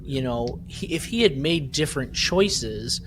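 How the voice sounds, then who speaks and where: A man's voice: mid-range voice, animated, unhurried. A man hosting a podcast, recapping a story in a rambling casual way and often checking in with "right?". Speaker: podcast host. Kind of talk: casual chat recapping an audio drama. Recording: clear background noise.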